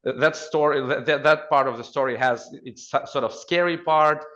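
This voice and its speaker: A man with a Ukrainian accent giving conversational expert commentary as a podcast guest, in halting phrases with repeated words. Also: a little high, a bit bright, muffled recording.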